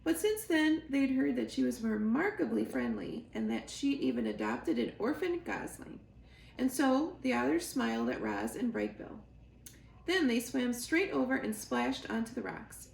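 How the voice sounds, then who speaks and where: Creaky-voiced woman reading a children's story. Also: a little high, wildly swooping pitch, moderate pace. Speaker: library storyteller. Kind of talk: expressive children's book read-aloud. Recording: roomy echo, faint background noise.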